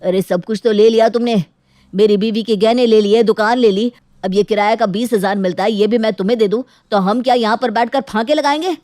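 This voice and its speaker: A woman's voice: on the high side, a little fast, a little mellow, dry recording, clean studio sound, natural voice. A woman voicing a hurt, dutiful grown son in a dramatic moral story, pleading earnestly and reproachfully. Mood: aggrieved.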